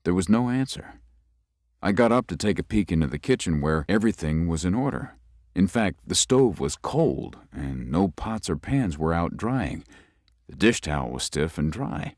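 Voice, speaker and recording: Casting: man playing a young lawyer narrating a ghost story, deep but lively voice, dry close sound. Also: dry recording. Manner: measured, tells the story with rising unease. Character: young male lawyer recuperating in the country. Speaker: narrator and lead.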